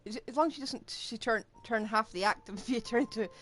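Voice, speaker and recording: A woman's voice: fairly high pitch, moderate pace, fairly bright tone. A woman giving casual livestream game commentary, muttering asides and thinking aloud. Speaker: game streamer. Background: game music.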